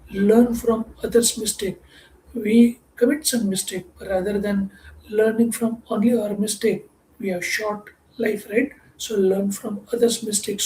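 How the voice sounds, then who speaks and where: A man with an Indian English accent, whose first language is Marathi, coaches his listeners in an earnest, repetitive way. His voice carries a clear room echo over a faint ambient background, as if he recorded himself in a bare room. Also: sits high, darkish tone, slight background noise. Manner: earnest, repetitive phrasing, often says "could be". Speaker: mindset coach. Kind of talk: motivational teaching talk.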